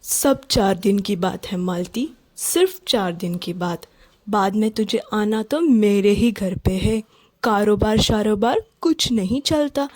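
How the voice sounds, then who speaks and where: A woman's voice: mid-range voice, takes it slowly, a little mellow, no room sound. A woman voicing a haughty, scolding village mistress who taunts her servant. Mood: scornful scolding. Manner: taunting, scornful. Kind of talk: Hindi cartoon voice acting.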